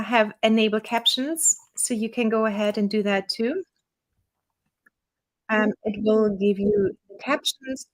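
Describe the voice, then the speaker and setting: A woman with a German accent, the owner of a small software company, walking viewers through a software tutorial in a relaxed, chatty way with fillers. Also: fairly high pitch. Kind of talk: screen-share software tutorial.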